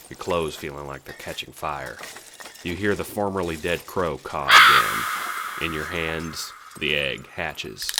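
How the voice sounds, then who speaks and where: A man's voice: rumbling low, background noise. A man reading scripted second-person narration for an audio drama.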